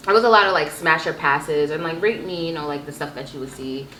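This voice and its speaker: A woman in her twenties chatting casually and telling stories in a playful, animated, slangy way. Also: deep voice, a little fast. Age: 20s. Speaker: vlog guest telling stories with sibling. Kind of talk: casual chat, storytelling. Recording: echoey room with background noise.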